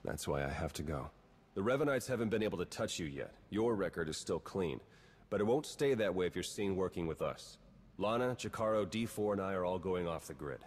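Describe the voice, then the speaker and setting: An actor playing a wry, terse, roguish spy in a video game, dry and matter-of-fact. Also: male voice, deep voice, lively intonation, a little fast, husky. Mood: serious, guarded. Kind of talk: cinematic video game acting.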